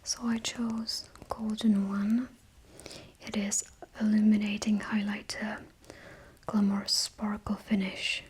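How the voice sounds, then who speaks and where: A woman speaking in a breathy whisper, gently explaining each step of a styling session to a client as unscripted ASMR. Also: medium pitch, monotone, slow, slight background noise. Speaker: makeup and colour analysis stylist.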